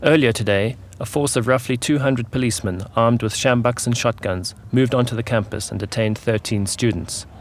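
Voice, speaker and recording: A man's voice: medium pitch, moderate pace, hardly any pauses. A man reading scripted documentary narration for a newsreel. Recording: noisy old 1980s newsreel soundtrack.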